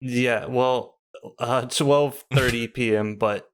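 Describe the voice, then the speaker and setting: A man's voice: mid-range voice, fairly even intonation, slow pace, clean tone. A man joins a podcast as a guest, speaking hesitantly and trailing off as he thinks aloud. He rambles a bit and jokes about himself. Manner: hesitant, rambling, self-deprecating. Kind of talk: casual podcast chat.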